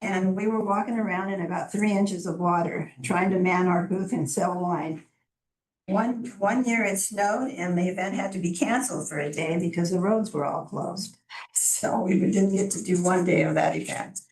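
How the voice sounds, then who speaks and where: A soft-voiced woman presenting to a city council as a chamber of commerce director, friendly and informal, with small restarts. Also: lowish voice, moderate pace. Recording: echoey council chamber from far off.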